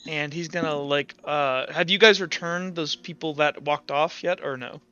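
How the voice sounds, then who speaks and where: A man narrating a tabletop roleplaying game as game master in a halting, informal way. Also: on the high side, steady tone, pushed and bright, clean tone, lo-fi sound, soft background music.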